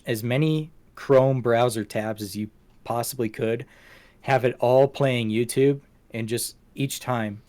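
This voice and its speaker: A man's voice: mid-range voice, a little mellow. A man hosting a casual podcast, talking through things in a rambling, self-deprecating way. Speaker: podcast host. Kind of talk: casual podcast chat, going through show stats.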